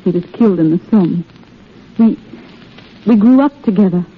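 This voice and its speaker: An actress playing a guarded, wistful young wartime nurse falling in love, in 1930s radio drama style. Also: female voice, mid-range voice, moderate pace, slight background noise, close to an everyday voice. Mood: wistful, grieving. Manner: hesitant, wistful, earnest. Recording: muffled tinny old radio recording, faint music.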